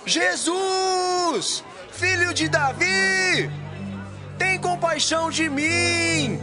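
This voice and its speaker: An actor playing a desperate blind male beggar who cries out in drawn-out pleas in a scripture audio drama. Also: very high-pitched, heavily acted. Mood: desperate pleading. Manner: crying out, drawn-out pleading. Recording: loud music and crowd behind shouting.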